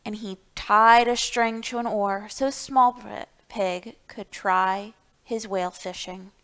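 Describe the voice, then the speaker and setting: A woman's voice: mid-range voice, fairly even intonation, slow pace, a bit bright. A woman hosting a library storytime, talking to young children and reading picture books aloud with questions and emphasis.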